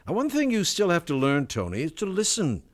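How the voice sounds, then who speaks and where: Domineering middle-aged businessman, controlled and calculating, lively pitch movement, vintage radio acting. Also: a little fast. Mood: lecturing. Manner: controlled, lecturing, self-assured. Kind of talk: 1970s radio drama acting.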